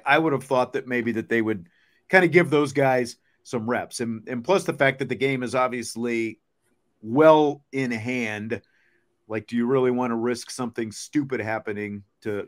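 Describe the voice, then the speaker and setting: A man hosting a sports show in a conversational way, chatting casually and putting questions to his co-host. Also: medium pitch.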